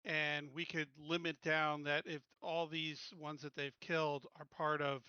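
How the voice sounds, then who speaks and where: A man with a fairly high, bright voice talks through his thinking as a player at a game table. His delivery is casual, and the sound is dry and slightly dull. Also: level tone, measured pace, clear, resonant tone, no room sound.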